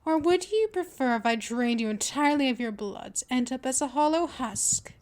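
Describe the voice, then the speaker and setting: A woman playing a patient, possessive vampire mistress in an ASMR roleplay, with a deliberate, teasing, coaxing delivery. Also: high-pitched, animated, darkish tone. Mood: menacing. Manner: slow, deliberate, coaxing, with drawn-out pet names.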